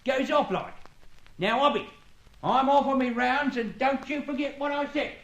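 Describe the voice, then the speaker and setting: In a roomy, echoing radio scene, a British night watchman with a remarkably high voice for a man. He is curt and officious, handing out orders in blunt working-class speech. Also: moderate pace, muffled recording. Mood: warning. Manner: curt, bossy, colloquial. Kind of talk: old-time radio detective drama acting. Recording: echoey old radio recording.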